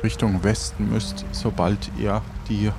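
A man narrating an improvised audio adventure as game master, halting as he searches for words. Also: fairly low pitch, level tone, creaky, music in the background.

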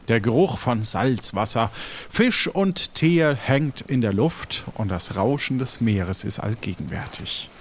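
Slow-paced man narrating an adventure. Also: mid-range voice, a little hiss or hum. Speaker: game master and narrator. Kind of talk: narrating an improvised audio adventure.

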